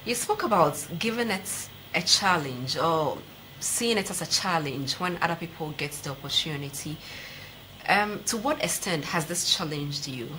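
A woman's voice: low-pitched, animated, moderate pace, pushed and bright, slight background noise, poor audio. A young woman with a Ghanaian accent hosting a TV sports interview, asking probing questions conversationally. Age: young adult. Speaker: sports show host, interviewer. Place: TV studio, seated at a desk.

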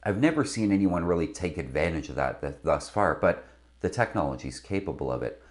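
A man's voice: low voice, lively intonation, a little fast. A middle-aged man presenting scripted instruction to camera as a sailing video host. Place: indoor studio with branded backdrop. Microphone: lapel mic.

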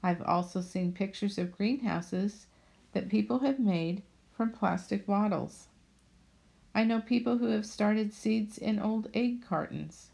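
A woman narrating a voice-over about her home garden in a steady, plainly read manner. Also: medium pitch, measured pace, gravelly. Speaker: budget vlogger.

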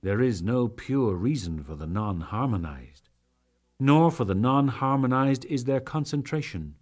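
A man giving a dramatic, solemn and measured reading of scripture as an audiobook narrator. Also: mid-range voice, expressive, darkish tone. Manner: solemn, measured, theatrical delivery with archaic diction.

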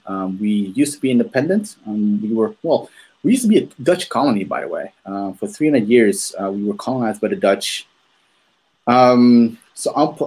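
A man in his thirties from Maluku, hosting a podcast over a video call, telling his story at an unhurried pace with many ums and restarts. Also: on the low side, darkish tone, poor audio. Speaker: podcast host. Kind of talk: casual conversational storytelling. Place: room at home, on a video call. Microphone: earphones with a desk microphone.

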